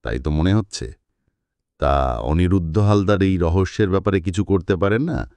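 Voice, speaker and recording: A man's voice: low voice, moderate pace, creaky, a little mellow, no echo. A man voicing a shrewd, kindly, thoughtful private detective who reasons aloud in an audio story. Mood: amused.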